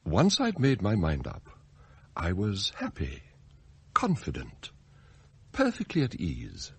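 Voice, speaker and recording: An actor playing a scheming, deferential English butler in a radio drama, formal, unctuous and theatrical. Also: male voice, sits low, huge pitch swings, slow pace, slightly rough, exaggerated voice. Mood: serenely scheming. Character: scheming, outwardly deferential butler, secretly anguished and devoted. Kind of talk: radio drama acting, confessional narration and dialogue.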